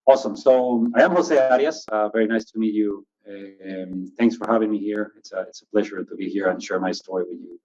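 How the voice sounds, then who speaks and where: A man's voice: a little fast, hardly any pauses, a little mellow. A man from Ecuador, casually introducing himself as a panelist. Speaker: panelist, technology executive. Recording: muffled low-fidelity online call audio.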